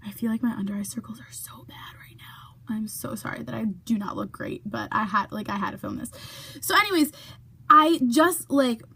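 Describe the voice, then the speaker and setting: A woman's voice: on the high side, normal speed, gravelly, pushed and bright, breathy edge. A young woman chatting casually to her camera in a vlog, full of fillers, sometimes dropping to a whisper for asides. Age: young adult. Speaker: YouTuber.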